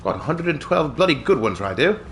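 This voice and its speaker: A man voicing a pompous, snobbish British gentleman with a put-on posh accent while commenting on a game, hammy and swearing freely. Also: a little high, big pitch swings, a little fast, faint music behind. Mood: gruff boastful. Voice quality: hammy, swearing, self-mocking. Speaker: game streamer. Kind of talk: live gameplay commentary in character.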